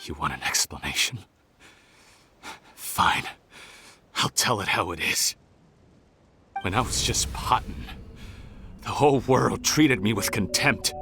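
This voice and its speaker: An actor voicing a bitter, scorned researcher turned criminal in a video game, resentful, sardonic and theatrical. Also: male voice, fairly low pitch, big pitch swings, takes it slowly, long pauses, bright, forceful tone, slight background noise, exaggerated voice.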